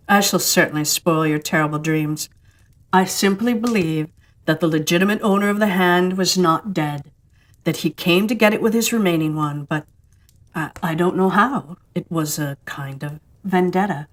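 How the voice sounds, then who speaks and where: A woman voicing a wry, composed male judge in a storybook reading, with a measured, knowing manner. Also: fairly low pitch, close to an everyday voice. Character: wry, smiling male judge, severe and unruffled.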